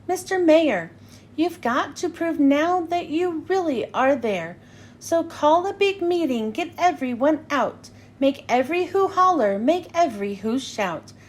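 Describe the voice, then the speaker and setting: A woman's voice: high-pitched, expressive, measured pace. A woman voicing an earnest, kind-hearted male elephant in a storybook, pleading urgently and emphatically.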